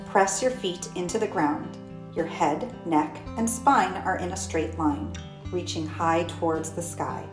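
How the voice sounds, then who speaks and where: A woman reading guided yoga instructions for children in measured short phrases with deliberate pauses. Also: fairly low pitch. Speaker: storytime host and yoga instructor reading aloud. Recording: roomy echo with plucked guitar music behind.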